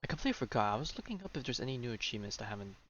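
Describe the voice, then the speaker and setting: A man's voice: medium pitch, very animated, rapid-fire, a bit bright, highs cut off, a little hiss or hum. A young man chatting casually as he streams a game, rambling and often interrupting himself. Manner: rambling, self-interrupting, informal. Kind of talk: casual livestream chat over gameplay.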